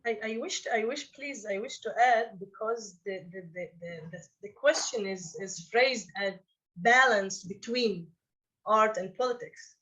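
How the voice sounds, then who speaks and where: Woman director, middle-pitched, halting delivery, muffled lo-fi recording. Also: a bit bright. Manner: halting, repeats small words. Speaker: director on a festival panel. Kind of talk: Q&A answer. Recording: slightly muffled audio.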